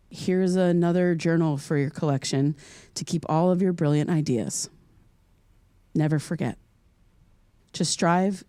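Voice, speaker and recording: Actress as pensive female amateur investigator, thirties. Soft-edged, mellow tone, halting casual delivery, very dry close sound. Naturalistic audio drama. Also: deep voice, dry recording. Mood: tender. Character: pensive woman in her thirties, searching into her past. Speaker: amateur investigator and podcast host. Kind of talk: naturalistic audio drama acting, confiding podcast monologue.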